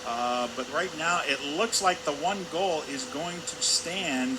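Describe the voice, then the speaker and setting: A man's voice: a little high, unhurried. A man giving live color commentary on a hockey game in a conversational, discursive manner. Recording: clear arena noise behind voice. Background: hockey arena ambience.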